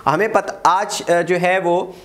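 A man's voice: sits high, slow pace. Guy in his 40s teaching history at a whiteboard, lapel mic, lots of uh's, repeats dates for emphasis. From Pakistan. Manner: animated, gestures widely, repeats key dates, frequent "uh" fillers. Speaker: lecturer. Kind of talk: exam-prep history lecture. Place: small room in front of a whiteboard.

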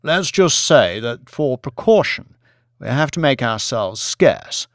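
A man's voice: mid-range voice, very animated, takes it slowly, no echo, studio quality. A man voicing a jovial, offhand man who stays cool and casual, as in an audiobook drama. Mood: breezy, assured. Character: Billy, jovial, breezy, beer-drinking man, cool and evasive.

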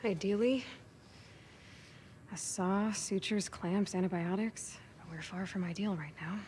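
Woman playing a steady medic lady in a game, clinical, mid-pitched, faint hiss behind. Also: close to an everyday voice. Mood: grave. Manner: clinical, measured. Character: pregnant woman field medic, calm and firm.

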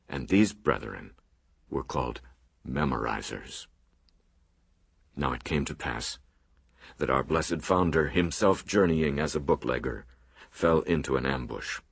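A man's voice: a bass voice, creaky, slightly rough, dry recording, exaggerated voice. A man playing a solemn monk who recites his order's sacred history in archaic, oratorical radio drama style. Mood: grave, mournful.

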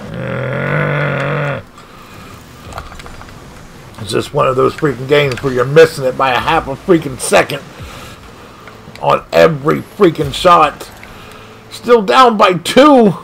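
A man streaming a car soccer game, commentating his own play with excited outbursts and frustration at near misses. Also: fairly high pitch, takes it slowly, vocal fry, long pauses, a little hiss or hum, rough recording. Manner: animated, exclaiming, frustrated at missed shots. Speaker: gaming streamer. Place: home gaming room.

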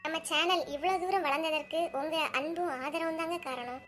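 A woman channel host giving a scripted outro, her quiet voice sitting under music. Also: very high voice, normal speed. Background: loud music.

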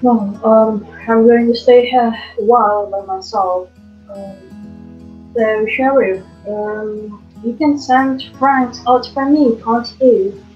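A woman's voice: on the high side, fairly even intonation, slow, faint music behind. A young woman playing a condescending male county attorney in an amateur stage drama, hesitating with frequent ums. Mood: unsatisfied. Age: young adult. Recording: echoey, dull webcam audio.

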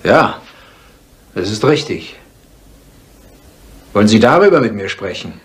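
A guarded man under police suspicion in a 1970s radio crime drama. His voice is husky and fairly low. He stays courteous, yet sounds defensive and evasive. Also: sing-song, a little slow, full character voice.

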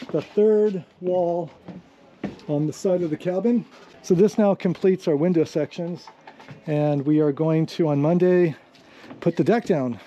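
A middle-aged man with a slightly high voice talks easily outdoors in a sunny boat yard. He rambles a little, restarting sentences and dropping fillers, into an action camera held at arm's length. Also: dark tone, highs cut off. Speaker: vlogger rebuilding a trimaran. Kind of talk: casual walk-and-talk vlog.

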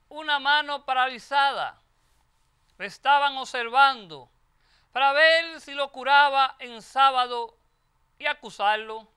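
An older man, a priest, reading the Gospel and giving a homily at Mass into a lectern microphone, preaching in a measured, emphatic way with repeated phrases. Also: extremely high pitch, bright, forceful tone, clear, resonant tone. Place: chapel sanctuary at a lectern.

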